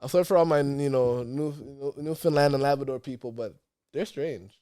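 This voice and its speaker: A young Canadian man co-hosting a casual podcast, animated and slangy, often talking over his partner. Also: medium pitch, normal speed, smooth, no echo, studio quality. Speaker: podcast co-host. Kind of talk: casual chat.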